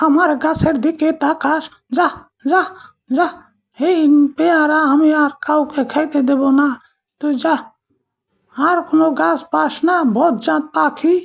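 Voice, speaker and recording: A woman's voice: sits high, vocal fry, exaggerated voice. A woman voicing a cranky, stingy old village grandmother in a cartoon, scolding and shooing people away. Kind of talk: cartoon voice acting.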